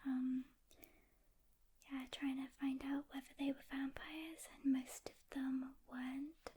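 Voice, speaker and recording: A woman playing a calm, weary demon hunter mentoring a newcomer in a gentle, hesitant whisper, as soft-spoken ASMR roleplay. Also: sits high, takes it slowly. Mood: wistful, subdued.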